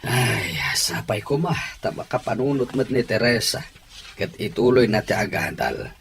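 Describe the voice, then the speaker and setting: An actor playing a gentle middle-aged uncle in a radio drama who is worried about his niece's studies, speaking in a warm and fatherly way. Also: mid-range voice, animated, normal speed, creaky, a little hiss or hum.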